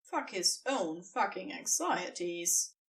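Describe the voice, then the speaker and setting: A woman narrating fiction from a script in an expressive storytelling style. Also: fairly low pitch, slow pace, far from the mic.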